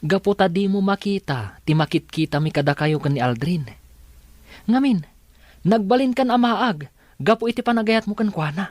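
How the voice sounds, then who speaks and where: A man's voice: high-pitched, lively intonation, normal speed, no echo. An actor playing a concerned, persistent young male neighbour in a radio drama, with an earnest, insistent delivery. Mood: earnest warning.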